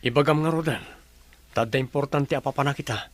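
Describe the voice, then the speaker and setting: A man's voice: medium pitch, a little fast, close to an everyday voice. An actor with an Ilocano accent playing a troubled, doubting businessman and boss in a radio drama. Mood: casual.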